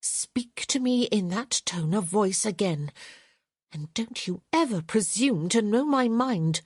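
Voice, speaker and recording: A woman voicing a proud, sharp-tongued young noblewoman in an audiobook, her speech haughty, clipped and cutting. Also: mid-range voice, expressive, normal speed, slightly rough, dry recording, studio quality, pitched above the performer's own voice. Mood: furious. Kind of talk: audiobook character voice.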